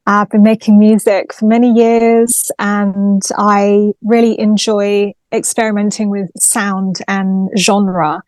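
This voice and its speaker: A woman's voice: medium pitch, little pitch movement, takes it slowly, few pauses, a little mellow, no echo. Chatty woman from London, dry sound. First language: Greek. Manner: chatty and articulate. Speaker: guest singer-songwriter. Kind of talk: casual interview chat. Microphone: video call.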